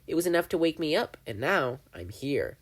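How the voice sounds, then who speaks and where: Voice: medium pitch, very animated, normal speed, husky, pitched above the performer's own voice. A reader voicing a gleeful, menacing male triangle dream demon who taunts and mocks, in a fan fiction audiobook. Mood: gloating. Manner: taunting, theatrical, mocking.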